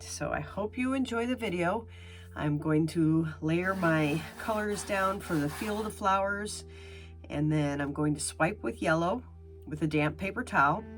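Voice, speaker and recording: Low-voiced, stuffed-up older woman. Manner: chatty, explains each step patiently. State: nasal, stuffed-up from a sinus infection. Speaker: artist demonstrating fluid painting. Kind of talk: casual tutorial narration.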